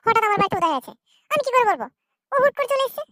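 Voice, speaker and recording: Squeaky, snappish cartoon girlfriend. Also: very animated, rapid-fire, pushed and bright, no echo, clean studio sound, full character voice. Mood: startled, defensive. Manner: dismissive, snappish. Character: fickle, money-minded young girlfriend in a comedy cartoon. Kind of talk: comedy cartoon dialogue.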